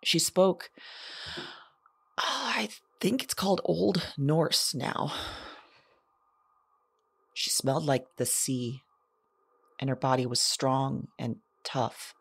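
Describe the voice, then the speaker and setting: A woman playing a lonely, haunted character who keeps an audio journal in a drama, with a halting, intimate, confessional delivery. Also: a bass voice, vocal fry, husky, no room sound, full character voice. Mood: wondering. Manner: halting, hesitant, hushed reflective delivery.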